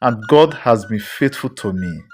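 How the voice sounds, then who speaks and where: A woman storyteller voicing a smooth-talking, lovestruck young man in a moral folktale. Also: rumbling low, lively intonation, measured pace, a little mellow, soft background music, pitched below the performer's own voice, full character voice. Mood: sweet, reassuring.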